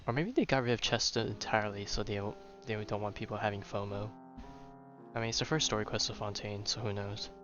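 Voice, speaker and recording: A male game streamer with a fairly low voice. He talks informally, thinking out loud as he plays. Video game music is clearly audible behind him. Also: fairly quick, highs cut off. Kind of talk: casual live gameplay commentary.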